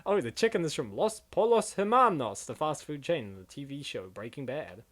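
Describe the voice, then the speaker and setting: A man's voice: a little high, very animated, a little fast, fairly bright tone. A man giving casual, off-the-cuff commentary in a rambling, jokey way. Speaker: commentator on webcomics.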